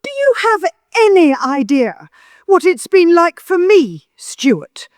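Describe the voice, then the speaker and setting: A woman's voice: very high voice, sing-song, takes it slowly, no echo, clean studio sound, full character voice. An actress playing a bitter, manipulative older mother-in-law in an audio drama, theatrical and guilt-tripping. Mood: indignant.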